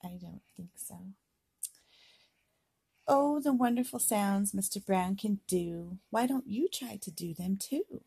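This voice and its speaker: Older woman, husky and very animated. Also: mid-range voice. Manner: playful, drawn-out sound words, coaxing the child to repeat. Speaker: grandmother reading aloud to a young child. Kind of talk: animated picture-book read-aloud. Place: home indoors, beside a patchwork quilt. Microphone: handheld phone or camera close by.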